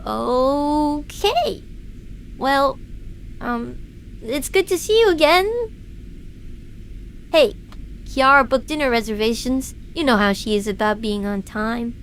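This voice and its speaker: Woman as gentle young female drama character, higher-pitched, hesitant, apologetic, naturalistic delivery, faint background noise. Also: animated, slow pace, pauses often, heavily acted. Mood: tentative, friendly. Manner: hesitant, soft-spoken, trailing off. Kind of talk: animatic audio drama acting, naturalistic dialogue.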